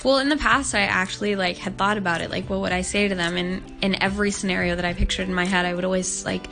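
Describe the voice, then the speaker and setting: A woman's voice: medium pitch, fairly even intonation, fast, fairly bright tone, faint music behind. A nineteen-year-old woman from Hong Kong, a guest on an interview show, talking openly and earnestly with many "like" fillers. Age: young adult. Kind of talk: casual interview talk.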